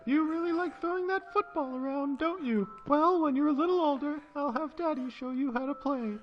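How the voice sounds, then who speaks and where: Woman as high-voiced encouraging mom. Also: moderate pace, close to an everyday voice. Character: encouraging young mother talking to her small son. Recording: muffled game audio with music.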